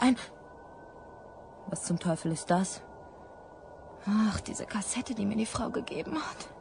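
A woman's voice: a little high, gravelly, a little mellow, a little hiss or hum. An actress playing a frightened, confused young woman on the run in a German radio crime drama, agitated and talking to herself. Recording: music behind the voice.

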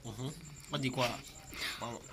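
A man hosting a radio game show, quizzing and teasing his guests in lively banter. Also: mid-range voice, measured pace, background noise. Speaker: radio quiz host.

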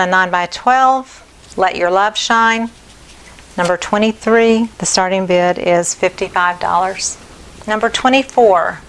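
A middle-aged woman artist presents her paintings in a slow, deliberate, friendly way, often repeating sizes and prices. Her voice sits at a medium pitch for a woman. Faint background noise runs under her voice in a home studio. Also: rough recording. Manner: unhurried, friendly, repeats details. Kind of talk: slow, plain auction preview talk.

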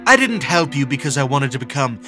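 A man's voice: medium pitch, very animated, brisk, sharp tone, faint music behind. A man voicing an arrogant, commanding teenage schoolboy in a comic dub, curt and cold. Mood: stern, probing. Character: arrogant, commanding high-ranking teenage schoolboy obsessed with hierarchy. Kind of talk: dramatic comic dub acting.

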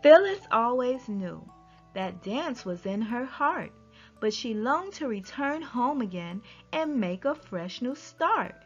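A woman reading a rhyming children's story aloud in an expressive, deliberate storytelling manner. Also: on the high side, husky. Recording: dull lo-fi audio with music behind.

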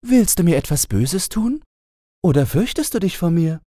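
A man's voice: sits high, sing-song, moderate pace, dark tone, dry recording, clean studio sound, voice pushed up. A man voicing a cheerful young fairy-tale princess with playful, naive, bossy chatter. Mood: playful, inviting.

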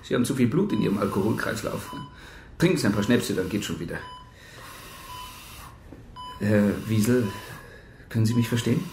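A man playing a brisk, wry hospital doctor in a radio crime drama. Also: on the low side, expressive, a little mellow. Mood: brisk, dry. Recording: echoey room, faint background noise.

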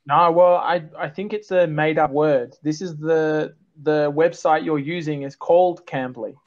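A man's voice: a little high, fairly even intonation, unhurried. A young man, tutoring English patiently over a video call through earphones. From Australia. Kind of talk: online language lesson. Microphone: earphone headset on video call. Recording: dull, lo-fi video call audio.

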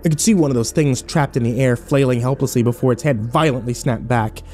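Man playing wry, mellow-voiced horror protagonist. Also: mid-range voice, fairly quick, soft background music, natural voice. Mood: tense narration. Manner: wry, sweary, self-deprecating. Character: sarcastic, battered young man telling his own nightmare. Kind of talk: first-person horror audio drama narration.

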